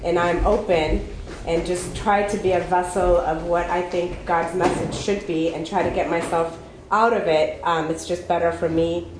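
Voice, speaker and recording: A woman from Ethiopia giving an unprepared personal testimony at a recovery meeting, halting and candid with many fillers and restarts. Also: fairly low pitch, fairly even intonation, noisy background. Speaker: speaker sharing her story at a recovery meeting. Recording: echoey room with faint ambience.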